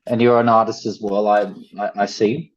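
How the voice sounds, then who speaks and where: A man's voice: fairly low pitch, little pitch movement, normal speed, muffled recording. A man in his thirties from the Gold Coast with an Australian accent, chatting casually as a podcast guest. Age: 30s. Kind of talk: casual conversation. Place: at home, main living space.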